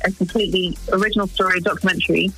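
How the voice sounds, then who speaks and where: A woman in her forties who grew up in East Africa, speaking as a wildlife filmmaker in an interview, earnest and pausing to find her words. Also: lowish voice, fairly even intonation, fairly bright tone, breathy edge, faint music behind, poor audio. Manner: earnest, searching for words with restarts. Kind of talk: interview guest.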